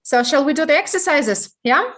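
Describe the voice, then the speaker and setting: A woman's voice: high-pitched, animated, moderate pace, fairly bright tone. Reassuring female stream host. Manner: friendly, reassuring questions. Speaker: live session host. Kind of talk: hosting a live stream. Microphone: video call.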